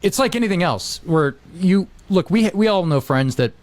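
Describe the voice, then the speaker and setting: A man's voice: sits high, lively intonation, moderate pace, slight background noise. A man chatting casually as a podcast host, telling stories and acting out quotes as he goes.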